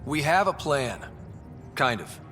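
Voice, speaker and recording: An actor playing a young, earnest expedition leader in a sci-fi video game, talking in a casual way. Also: male voice, medium pitch, sing-song, slow, sharp tone, faint music behind, exaggerated voice. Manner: casual, conversational. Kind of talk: naturalistic game dialogue.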